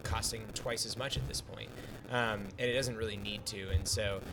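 Man, lowish pitch, brewer guest, casual podcast talk, reflective, wordy, hedging, noisy background. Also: fairly even intonation, moderate pace. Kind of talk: casual podcast interview, explaining his trade. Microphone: podcast microphone.

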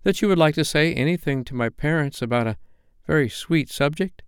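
Man acting as tender young girl, raised pitch, earnest, soft-hearted, pleading, children's audiobook. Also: a little slow, clean tone, dry recording, clean studio sound. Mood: hesitant, pleading.

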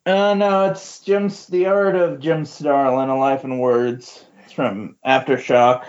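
A man's voice: mid-range voice, steady tone, slow, a little mellow. Hesitant man in slightly muffled casual chat. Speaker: podcast co-commentator on comic books. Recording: slightly dull, lo-fi audio.

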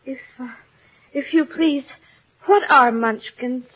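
A woman's voice: sits high, slow pace, slightly rough, heavily acted. An actress playing an innocent, polite young farm girl, earnest and breathless, in old radio drama style. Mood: bewildered. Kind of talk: 1930s-50s radio drama acting. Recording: tinny old radio broadcast.

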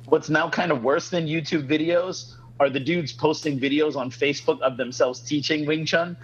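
A man's voice: fairly high pitch, fairly even intonation, moderate pace, slightly rough, lo-fi sound. A man hosting a casual podcast, a martial arts instructor, ranting frankly with many fillers.

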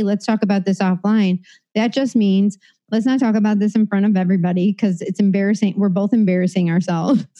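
Chatty woman cohost, mellow warm voice, laughs a lot, lots of likes and ums. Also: medium pitch, lo-fi sound. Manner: animated storytelling, frequent laughter and filler words. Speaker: podcast co-host. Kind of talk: casual chat.

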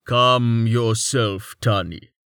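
A man voicing a stern, grave antlered male creature in a fantasy audiobook, commanding and deliberate. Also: mid-range voice, fairly even intonation, a bit bright, clear, resonant tone, no room sound, clean studio sound. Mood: calm, stern. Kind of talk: audiobook character acting.

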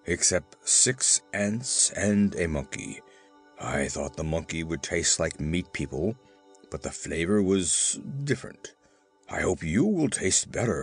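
An enormous storybook giant, with an extremely deep, husky voice. He draws his words out slowly, greedy and wheedling, full of mock sorrow and hunger. Music plays behind him. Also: exaggerated voice. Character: huge hungry man-eating giant, mock-sorrowful and greedy.

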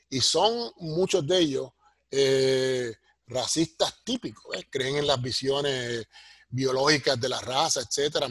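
A middle-aged or older man with a Puerto Rican Spanish accent and a husky voice, explaining things like a professor in an interview and punctuating his points with tag questions. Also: medium pitch, fairly bright tone, dry recording, clean studio sound. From Puerto Rico. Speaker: sociology professor, interview guest.

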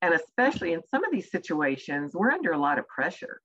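A woman with a soft voice, an executive communication coach answering interview questions in a measured way with deliberate pauses. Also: lowish voice, very animated, breathy edge, highs cut off.

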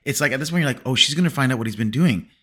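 A man's voice: medium pitch, expressive, very fast, pushed and bright, slightly rough. A man co-hosting a casual film podcast, rambling with many fillers. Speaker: podcast co-host.

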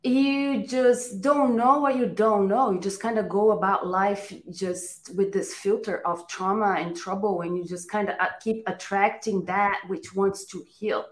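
A woman's voice: medium pitch, steady tone, a little slow, few pauses. Brazilian-accented woman in a roomy space. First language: Portuguese. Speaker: podcast guest, coach and therapist. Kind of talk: conversational interview answers. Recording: roomy, echoey room sound.

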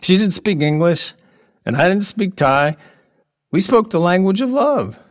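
A man acting in an audio drama as an odd, playful, lonely middle-aged workman, whimsical and halting, with earnest oddball candor. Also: high-pitched, very animated. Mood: wistful, confiding.

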